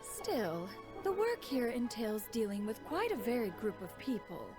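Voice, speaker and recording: A woman's voice: a little high, huge pitch swings, measured pace, over music. A woman voicing a proud, aloof immortal in an anime game, speaking in a formal, archaic, haughty way. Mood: lofty. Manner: haughty, formal, archaic, calls herself "one".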